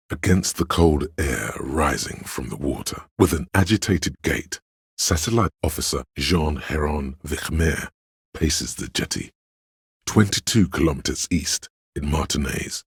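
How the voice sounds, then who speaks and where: A brooding inner voice narrates a detective game. It sits extremely low, with a crackling fry and heavy huskiness. The delivery is measured, solemn and dramatic, like literary scripted narration. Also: male voice, expressive, no echo, clean studio sound, exaggerated voice. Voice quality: very deep, gravelly, husky.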